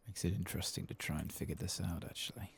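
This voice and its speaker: A soft-spoken man hosting an ASMR game playthrough, hushed and unhurried, sometimes slipping into whispers. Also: sits low, creaky, husky, no room sound. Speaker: ASMR gaming host.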